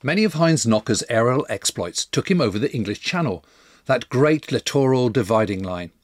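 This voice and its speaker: Husky, animated male documentary narrator. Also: medium pitch, moderate pace, dry recording, clean studio sound. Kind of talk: scripted history documentary narration.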